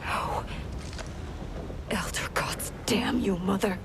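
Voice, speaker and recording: An actress voicing an earnest, troubled warrior princess in a video game, speaking gravely and urgently. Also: female voice, slow. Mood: bitter dismay. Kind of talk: dramatic video game acting. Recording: loud rumbling noise behind.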